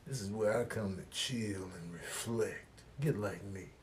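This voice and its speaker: A man's voice: fairly low pitch, close to an everyday voice. A man voicing a laid-back, smug cat in a comic voiceover, talking coolly and boastfully without hurry. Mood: smug, relaxed. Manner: cool, unhurried, boastful.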